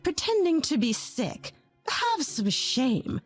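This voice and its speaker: A woman voicing a harsh, scornful older mother-in-law in a narrated story, talking in a shrill, contemptuous way. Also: animated, a little fast, a little mellow, soft background music.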